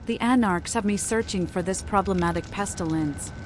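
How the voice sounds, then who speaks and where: A woman's voice: medium pitch, normal speed, heavy background noise, natural voice. A woman voicing a mad young female vampire who speaks theatrically in cryptic riddles, as a video game protagonist. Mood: manic, ominous. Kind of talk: fan-voiced video game dialogue. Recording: loud background music.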